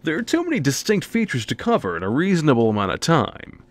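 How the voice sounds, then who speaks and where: A man's voice: on the high side, huge pitch swings, fast talker. A man reading a scripted game preview narration with theatrical, wry, emphatic phrasing. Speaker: video game reviewer and narrator.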